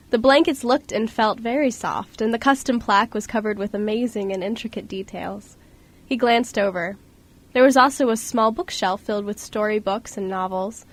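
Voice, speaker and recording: A woman reading scripted storybook narration as the narrator of an amateur radio drama. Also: fairly high pitch.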